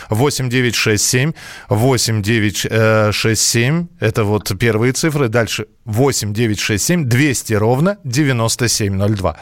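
A man's voice: medium pitch, dry recording, studio quality. A man co-hosting a live radio quiz in a chatty way, carefully reading out numbers digit by digit. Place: close dry setup for a home radio broadcast.

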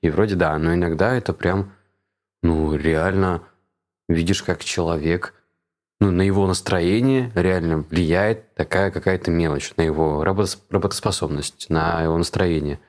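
A man's voice: deep voice, normal speed, darkish tone. A man chatting casually as a conversation partner who puts questions to the other speaker. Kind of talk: casual chat.

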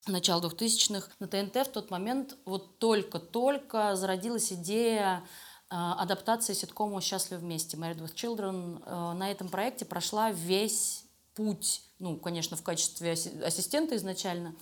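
A woman's voice: medium pitch, normal speed. A middle-aged woman answering interview questions conversationally as a guest, candid and self-deprecating. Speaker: interview guest, animation studio creative director.

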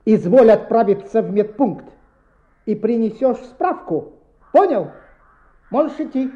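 Stern inspector, highish mellow voice, swooping intonation, scolding, radio drama. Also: female voice, expressive, unhurried. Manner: scolding, interrogating, officious. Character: stern, indignant school inspector. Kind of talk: radio play acting. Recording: dull old radio recording.

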